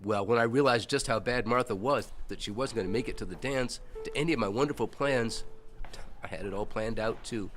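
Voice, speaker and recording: A man's voice: mid-range voice, fairly bright tone, a little hiss or hum, natural voice. A man playing a folksy older country fellow who earnestly recalls his boyhood in an audio drama. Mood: animated, rueful.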